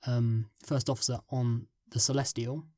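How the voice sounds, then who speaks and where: A man's voice: mid-range voice, little pitch movement, normal speed, darkish tone, no echo. A man being interviewed as a script writer, talking casually and hesitantly with many fillers and trailing thoughts.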